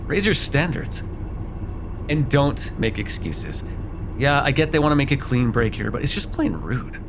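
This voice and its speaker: A man playing a sarcastic vampire with a soft spot in an ASMR roleplay, talking in a teasing, conversational way with sighs and hesitations. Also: mid-range voice, expressive, a little slow, background noise, lightly acted. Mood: wry, indignant. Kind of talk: immersive ASMR roleplay acting.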